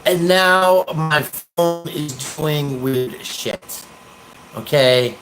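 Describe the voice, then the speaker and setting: An American man rambling casually on a livestream, hesitant and pausing often as he talks. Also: fairly high pitch, over music. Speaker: livestream host, activist.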